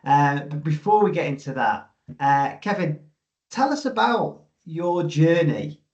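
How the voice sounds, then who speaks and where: Male podcast interviewer, slightly high voice, hesitant conversational phrasing, somewhat dull recording. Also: animated, measured pace, darkish tone. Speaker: podcast host.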